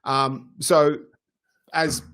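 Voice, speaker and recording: A middle-aged man co-hosting a casual podcast, talking in an easygoing, agreeable way with quick bursts. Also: a little high, flat delivery, fairly bright tone, clear, resonant tone. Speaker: podcast co-host. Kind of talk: casual chat.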